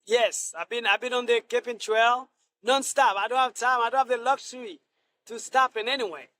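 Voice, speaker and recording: Halting man, high voice. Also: pushed and bright, no room sound. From Haiti. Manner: halting, many restarts and fillers. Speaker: Senate candidate. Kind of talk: interview answers.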